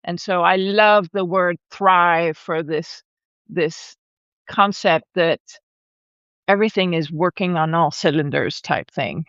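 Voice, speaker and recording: A woman's voice: fairly low pitch, slow, a bit bright, no echo, highs cut off. A woman in her forties, a regenerative rancher and educator who grew up in Argentina and Brazil, telling her story in a chatty way, laughing often and restarting her sentences. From born in Argentina, raised in Brazil. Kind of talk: casual conversational storytelling. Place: home, live video call. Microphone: video call microphone.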